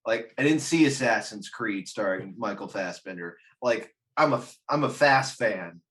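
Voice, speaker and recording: A man's voice: fairly low pitch, animated, normal speed, fairly bright tone. A man hosting a casual film discussion, swearing freely, blunt and joking as he gives his opinions. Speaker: host of a film discussion show. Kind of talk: casual chat, movie commentary.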